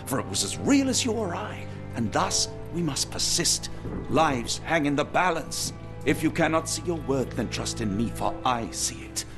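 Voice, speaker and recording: An actor playing a loyal, principled Victorian doctor in a video game, British, earnest and formally articulate. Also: male voice, mid-range voice, natural voice. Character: loyal, principled Victorian male doctor, steadfast companion to detective. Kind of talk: video game cutscene acting. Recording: music behind the voice.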